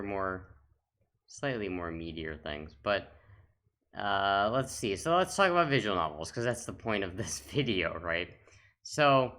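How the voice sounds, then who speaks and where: A man with a very low voice and a bright, punchy tone hosts a gaming video. He talks casually and without a script, often halting as he works through his thoughts out loud. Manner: halting, thinks aloud. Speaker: host of a gaming trophy-hunting channel. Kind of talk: casual unscripted commentary while scrolling.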